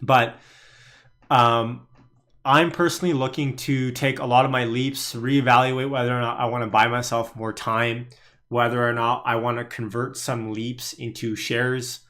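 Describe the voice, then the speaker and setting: A man's voice: mid-range voice, flat delivery, a little slow, a bit bright. A young man from Canada hosting a casual live stream on the stock market, chatting and laughing as he reads viewer comments aloud. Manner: chatty, laughs while reading chat aloud. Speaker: livestream host and day trader.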